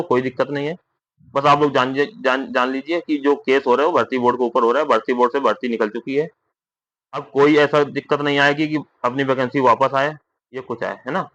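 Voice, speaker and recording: A man's voice: medium pitch, fairly bright tone, no room sound, muffled recording. A young man gives an informal explanatory update, repeating himself reassuringly and often adding tag questions. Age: young adult. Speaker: content creator giving recruitment updates to fellow candidates.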